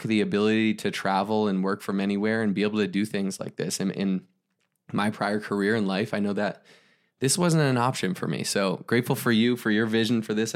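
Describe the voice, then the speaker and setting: A man co-hosting a podcast, chatting casually in an upbeat, motivational way, talking in long run-on stretches. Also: fairly low pitch. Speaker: podcast co-host. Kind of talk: casual chat.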